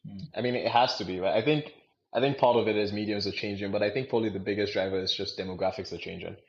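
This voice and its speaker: A man's voice: fairly low pitch, fairly even intonation, quick pace. A young man from Nigeria talking casually as a podcast co-host, often repeating and rephrasing as he goes. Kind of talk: casual discussion. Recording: dull, lo-fi sound.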